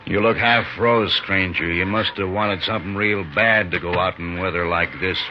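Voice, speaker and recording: An actor playing a menacing, suspicious outlaw in a 1950s radio western, with an American folksy drawl and a slow, taunting manner. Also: male voice, sits low, fairly even intonation, close to an everyday voice. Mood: suspicious, needling. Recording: dull, noisy 1950s radio recording.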